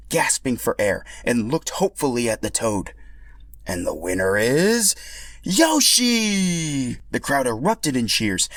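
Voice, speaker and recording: A man narrating a scripted story for a podcast in an animated, expressive way. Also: mid-range voice, no room sound.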